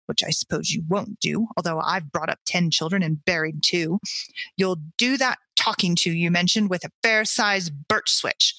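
A woman reads out an older storybook busybody full of wounded dignity. She is huffy and self-righteous, with a bright, clear-edged voice in a dry recording. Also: medium pitch, no room sound, low-quality recording.

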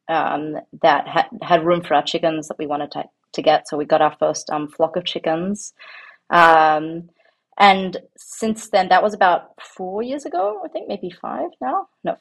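An Australian woman in her thirties, talking casually as an interview guest, rambling with many ums and restarts. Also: sits low. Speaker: guest, home farmer.

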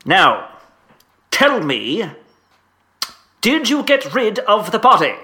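An actor playing a pompous, sputtering Victorian headmaster in a comedic audio drama. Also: male voice, high-pitched, huge pitch swings, slow pace, pushed and bright, heavily acted. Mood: stern. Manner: pompous, sputtering, old-fashioned exclamations. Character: pompous, blustering 1850s English boarding school headmaster.

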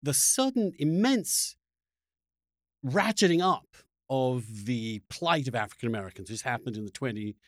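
A man hosting a podcast, asking long, reflective questions in a halting, searching conversational way. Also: medium pitch, lively intonation, fairly bright tone, dry recording, studio quality. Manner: halting, searching delivery with repeated words. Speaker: podcast host.

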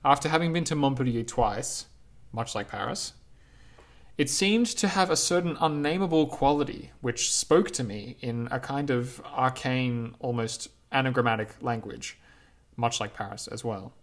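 A man reading his own reflective essay aloud in a measured, lyrical, unhurried way. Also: medium pitch.

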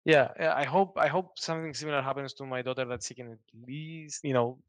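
A man's voice: mid-range voice, fairly even intonation, fairly quick, no echo. A man in his thirties, a Greek native speaker, answering interview questions in a hesitant way with many fillers and restarts. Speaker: guest, software developer. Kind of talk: casual interview.

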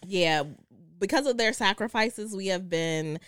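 A woman's voice: medium pitch, a little slow, clean tone, no echo. A woman in her early forties co-hosting a podcast, chatting casually and playfully with her close friend. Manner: animated, playful. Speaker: podcast co-host. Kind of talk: casual girl-talk chat between close friends.

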